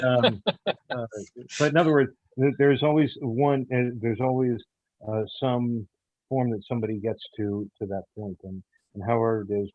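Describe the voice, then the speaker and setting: A man speaking casually as an interview guest, in a hesitant, halting and earnest way. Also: fairly low pitch, no echo, phone-quality sound. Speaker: audio theater show creator, interview guest.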